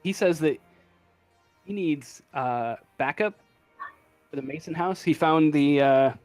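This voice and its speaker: Slow, halting male game master. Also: a little high, a little mellow, dry recording. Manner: halting, thinking aloud. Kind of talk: improvised tabletop game narration. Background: faint music.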